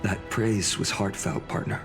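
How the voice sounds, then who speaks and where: A young warrior leader from a fantasy game. He sounds composed and gracious, with a teasing, heartfelt streak. Music plays softly behind him. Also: on the low side, fairly even intonation, unhurried, long reverb tail. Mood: sincere. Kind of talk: video game voice acting.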